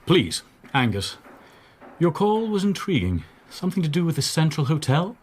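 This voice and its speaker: An actor playing a privileged brewery heir and reformed playboy in a radio drama, urbane and politely condescending. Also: male voice, fairly high pitch, very animated, normal speed, breathy edge. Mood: urbane, reflective.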